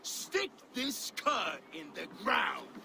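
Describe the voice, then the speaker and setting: An actor playing a boastful, scornful Danish Viking steward, gravelly and theatrical in his taunts, in a video game cutscene. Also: sits high, huge pitch swings, very slow, sharp tone, heavily acted. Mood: scornful boasting. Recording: game ambience, clear background noise.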